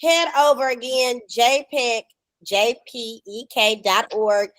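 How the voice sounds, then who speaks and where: A woman's voice: on the high side, fairly even intonation, takes it slowly, fairly bright tone, dry recording. An American woman in her thirties hosting a podcast over video call, chatting casually and promoting with slangy, emphatic enthusiasm. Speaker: podcast host. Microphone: video call microphone.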